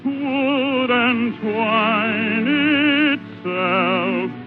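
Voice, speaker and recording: A woman singing a slow classical parlour song on a 1940s radio program. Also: fairly high pitch, fairly even intonation, music in the background. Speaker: singer. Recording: narrow-band 1940s radio recording.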